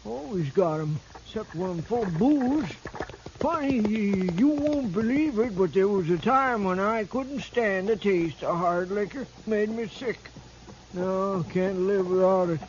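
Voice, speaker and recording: Frontier drunkard, craving and shivering, folksy mumbling drawl, 1950s radio drama, muffled sound with light hiss. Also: male voice, high voice, lively intonation, measured pace, a little mellow. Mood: shaky, wistful.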